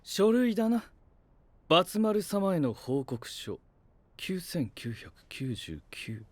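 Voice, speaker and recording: A man's voice: high-pitched, sing-song, takes it slowly, dry recording, heavily acted. An actor playing an analytical, pedantic young male knight with precise, formal phrasing in an anime-style voice drama. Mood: observant.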